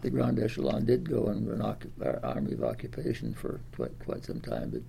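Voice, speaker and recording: A very old man from Iowa, ninety years of age, recalls his life in a gravelly, mellow voice. He rambles along with fillers and restarts. Faint room ambience sits behind him. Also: fairly low pitch, big pitch swings, fairly quick, a little hiss or hum. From Des Moines, Iowa. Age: 90s. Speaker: interviewee. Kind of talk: oral history interview.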